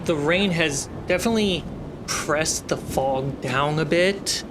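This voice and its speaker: A man runs a tabletop fantasy game as its storyteller. His voice sits a little high and he takes his time, pausing for drama. Faint storm ambience runs underneath. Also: background noise. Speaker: game master. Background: storm and ship sound effects.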